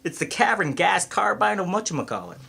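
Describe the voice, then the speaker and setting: A folksy country constable, played by a man, excitable and plain-spoken, in a radio mystery. The voice is bright and forceful and swings widely up and down. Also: sits high, fairly quick, creaky, husky, heavily acted. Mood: folksy, wary. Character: folksy male small-town constable and ambulance driver, excitable. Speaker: amateur voice actor in an audio mystery. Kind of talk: scripted radio mystery acting.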